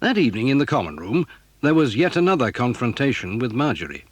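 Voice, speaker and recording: A man's voice: medium pitch, big pitch swings, quick pace, no room sound. A man with an English accent reading scripted storybook narration for a children's audio drama.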